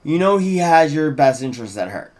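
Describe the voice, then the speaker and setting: Earnest teen girl, read by a man, fantasy table read, encouraging, animated, slightly high. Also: a little fast. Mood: reassuring. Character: hopeful, supportive teenage girl in a fantasy script.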